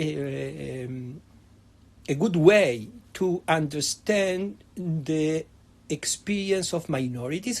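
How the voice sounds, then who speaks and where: A man's voice: on the high side, darkish tone. A middle-aged man from Argentina, a history professor answering interview questions in a hesitant way, with many fillers and restarts. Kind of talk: academic interview.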